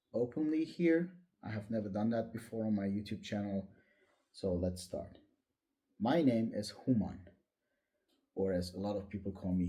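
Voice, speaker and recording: A man's voice: on the low side, dark tone. A man in his late forties, a non-native English speaker, telling his personal story in a candid, reflective, somewhat hesitant way. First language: not English. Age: late 40s. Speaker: vlogger. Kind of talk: personal life story told to camera.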